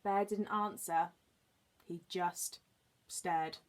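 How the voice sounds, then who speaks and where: A woman's voice: on the low side, steady tone, drawn-out words. A woman teacher reading a children's storybook expressively, adding explanatory asides for young listeners. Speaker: early years teacher reading aloud.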